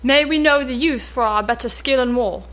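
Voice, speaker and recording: A woman's voice: high-pitched, normal speed, a bit bright. A woman playing a cheeky young page boy in an amateur cold reading of an old stage play. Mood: sly. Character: cheeky young page boy mocking a braggart with feigned awe.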